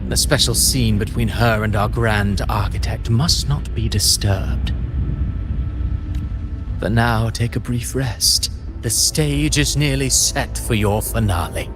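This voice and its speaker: An actor playing a theatrical, scheming game villain who speaks in grandiose, deliberate lines. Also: male voice, mid-range voice, close to an everyday voice. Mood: smug. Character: theatrical male villain, scheming playwright of despair. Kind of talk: scripted game villain monologue. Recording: loud music under the voice. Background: loud music.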